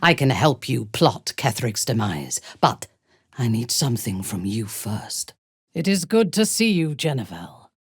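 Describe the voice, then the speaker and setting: A woman playing a haughty, ruthless dark elf warrior in a video game, speaking in an imperious, deliberate, measured way. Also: extremely low pitch, very animated, husky, dry recording, studio quality, full character voice. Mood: poised, intense. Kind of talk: dramatic video game character acting.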